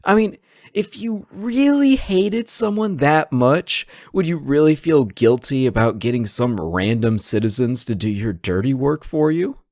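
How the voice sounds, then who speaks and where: A man's voice: mid-range voice, expressive, unhurried, darkish tone, no echo, clean studio sound. A man acting a sarcastic, teasing hostage who taunts a supervillain in a conversational, theatrical roleplay audio drama. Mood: incredulous.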